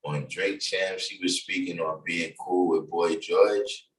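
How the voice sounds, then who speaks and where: A very low-voiced New York man talks in a loose, rambling way, full of fillers. He sounds set back from the microphone in an echoey room. He is middle-aged and hosts his own livestream. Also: fairly even intonation, slow pace. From New York City, Bronx. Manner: loose, conversational, many fillers. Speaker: livestream host. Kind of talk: casual livestream talk. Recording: echoey room, distant from mic.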